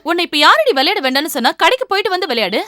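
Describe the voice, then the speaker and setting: A woman's voice: extremely high pitch, very animated, racing, pushed and bright, dry recording, clean studio sound, exaggerated voice. An actress playing an exasperated mother in a children's cartoon, scolding and coaxing a child. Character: exasperated mother nagging her young daughter. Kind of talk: children's animated series dubbing.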